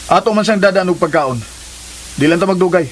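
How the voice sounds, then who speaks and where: Guy acting smooth-talking young cebuano suitor, pushy but generous, casual coaxing, bit of hiss, radio drama. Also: high-pitched, fairly quick, lightly acted. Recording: hissy recording, faint crackle.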